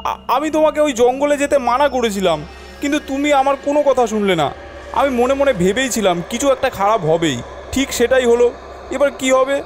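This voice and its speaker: A man's voice: extremely high pitch, lively intonation, fast, soft background music. A man voicing an earnest young nephew in a cartoon, telling things expressively. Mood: worried. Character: worried young nephew who narrates the tale. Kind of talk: cartoon voice acting.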